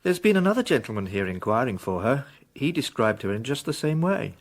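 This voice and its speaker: Radio drama: helpful, careful hotel receptionist, polite, medium pitch, lively intonation. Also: male voice, brisk. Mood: helpful, apologetic.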